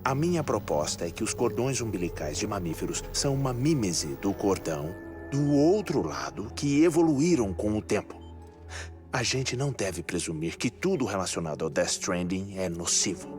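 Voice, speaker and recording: A man with a Brazilian accent playing an earnest scientist in a dubbed game cutscene, explaining in a deliberate, lecturing, emphatic way. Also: lowish voice, big pitch swings. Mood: measured, insistent. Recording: loud music behind voice. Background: cinematic game music.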